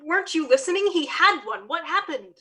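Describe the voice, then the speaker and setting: High, bright, inquisitive child. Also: female voice, sing-song, fairly quick, exaggerated voice. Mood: curious, insistent. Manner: questioning, insistent. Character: inquisitive, insistent grandchild.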